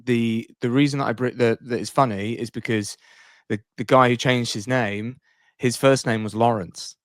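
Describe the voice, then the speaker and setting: A man's voice: mid-range voice, fairly even intonation, normal speed, no room sound, clean studio sound. A man in casual podcast conversation, rambling along in a playful, jokey way. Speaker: podcast co-host.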